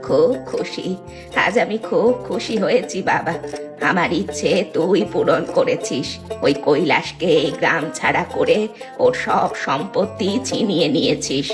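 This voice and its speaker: A woman acts a greedy older village mother in a cartoon tale. She gloats and bosses in a somewhat low voice, with music clearly behind her. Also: a little slow. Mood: gleeful, gloating. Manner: gloating and bossy. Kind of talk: dramatized Bengali moral-story cartoon dub.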